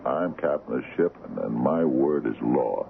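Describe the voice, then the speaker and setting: Over a tinny old radio broadcast comes an ailing sea captain, extremely deep and full of gravel. He is stubborn and wry, protesting in halting bursts despite his weakness. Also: expressive, a little hiss or hum, full character voice. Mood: stubborn, weary. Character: weakened, stubborn, joking sea captain, sick in bed. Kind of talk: radio drama acting.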